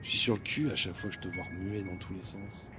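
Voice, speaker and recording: A man's voice: sits low, fairly even intonation, fast talker, over music, natural voice. A man playing a young cat owner who talks to his pet while driving, rambling and stammering, with the odd swear word. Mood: tender. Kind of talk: audio drama acting.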